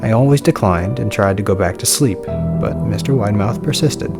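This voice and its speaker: A man's voice: on the low side, fairly quick, vocal fry. A man reading a scripted first-person horror story as its narrator. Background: soft music.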